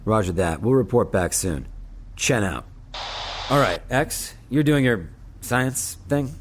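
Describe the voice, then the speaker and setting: A man playing a steady, dry starship captain in an audio drama, brisk and matter-of-fact. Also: lowish voice, noisy background. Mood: commanding.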